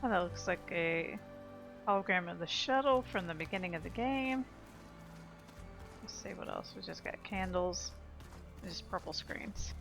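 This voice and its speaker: A woman's voice: on the low side, lively intonation, a little slow, vocal fry, long pauses. Woman chatting over video game music. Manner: chatty, talks to herself while playing. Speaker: gameplay streamer. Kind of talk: casual let's-play commentary. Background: video game music and sounds.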